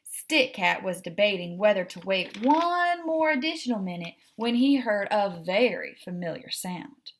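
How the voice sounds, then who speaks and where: A woman's voice: mid-range voice, expressive, measured pace, few pauses. A woman hosting a read-aloud, narrating a children's storybook expressively and addressing young listeners directly.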